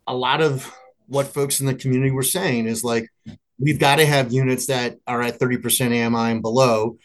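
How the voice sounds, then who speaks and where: Male executive interviewee, mid-pitched voice, conversational, informal explaining. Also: fairly even intonation, a little fast. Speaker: nonprofit executive being interviewed.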